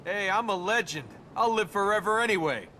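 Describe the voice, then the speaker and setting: Young American game hero, cocky and sarcastic, swinging animated pitch, loud noise behind. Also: male voice, a little fast. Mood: cocky, defiant. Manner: flippant, sarcastic. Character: cocky, wisecracking young male adventurer. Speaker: video game lead character. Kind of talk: video game dialogue. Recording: game audio over loud ambient noise.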